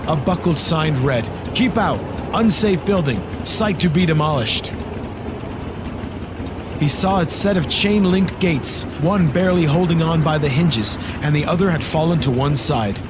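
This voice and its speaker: Male horror narrator amid loud background noise. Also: fairly high pitch, unhurried. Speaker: story narrator. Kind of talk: scripted horror audio drama narration. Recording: loud storm and engine sound effects. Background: loud storm and vehicle ambience.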